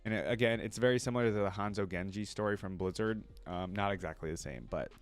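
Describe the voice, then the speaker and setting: A man's voice: fairly low pitch, quick pace, soft background music. A man hosting a reaction video, reading story text aloud and adding casual, exclamatory commentary. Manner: informal, exclamatory asides.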